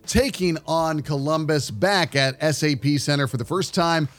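Man, slightly high voice, sports podcast host, conversational, emphatic delivery. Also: normal speed, a bit bright.

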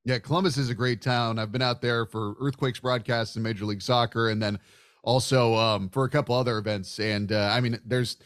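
A man's voice: mid-range voice, steady tone, fairly quick, fairly bright tone, clear, resonant tone. A man hosting a sports podcast, talking in a chatty, discursive way like a casual broadcaster in conversation. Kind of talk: casual sports interview talk.

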